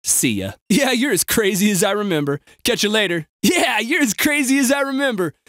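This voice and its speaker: A man's voice: sits high, fairly quick, dry recording, studio quality. An actor playing a snarky, crude, jumpy young male bystander in a video game, with a sarcastic, exaggerated delivery. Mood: dismissive. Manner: sarcastic, exaggerated, swinging from flat to frantic.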